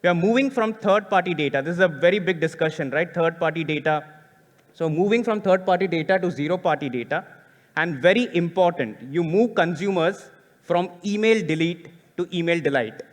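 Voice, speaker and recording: Man, conference speaker, high voice, stumbling restarts, "right?" tags, hall echo. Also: fairly quick. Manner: repetitive restarts, frequent "right?" tag questions. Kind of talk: conference presentation. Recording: long hall reverb.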